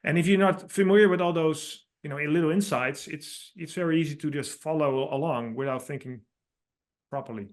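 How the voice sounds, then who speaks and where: A man from the Netherlands speaks English with a clear Dutch accent and a slightly high voice. He is a guest on a podcast, laying out his thinking in an earnest, explanatory way. His phrasing is a little non-native. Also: brisk. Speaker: author and guest. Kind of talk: podcast interview.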